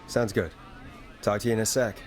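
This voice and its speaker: An actor playing a steady, reassuring male radio host in a video game, with faint noise behind. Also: fairly low pitch, brisk, lightly acted. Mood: calm, firm.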